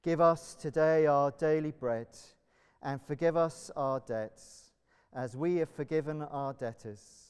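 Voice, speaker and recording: A middle-aged man with an English accent speaking as a church minister, leading prayers and addressing a congregation in measured, solemn phrases. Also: on the high side, darkish tone, clear, resonant tone. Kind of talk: liturgical prayer and announcements to a congregation. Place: large church, indoors. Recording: reverberant church hall.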